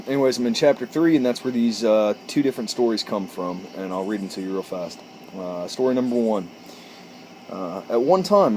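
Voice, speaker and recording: A man's voice: medium pitch, darkish tone, slight background noise, low-quality recording. A man chatting casually and reading aloud on an outdoor porch, informal and sometimes stumbling over words and correcting himself. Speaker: amateur storyteller and video host.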